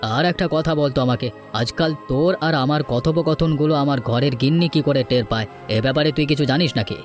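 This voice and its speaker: A man voicing a scheming, bossy older village headman in an animated folk tale, with a brusque, dismissive manner. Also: fairly high pitch, brisk, faint music behind, natural voice.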